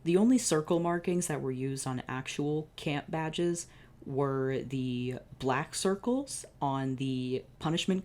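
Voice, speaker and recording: A woman's voice: very deep voice, a little mellow. A woman hosting her channel, reading fan fiction aloud and breaking off for sarcastic, profane asides. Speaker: channel host.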